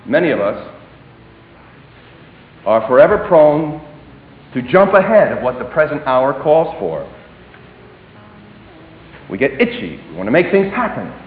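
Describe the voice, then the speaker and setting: A man preaching a sermon, swinging between emphatic declarations and hushed, dropped phrases. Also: a little high, very animated, a little slow, long pauses. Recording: echoey hall, tinny phone-like sound.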